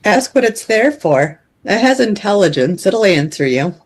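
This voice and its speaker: A woman calmly guiding someone through a hypnotic regression with steady, directive prompts. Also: mid-range voice, normal speed, slight background noise. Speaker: regression facilitator.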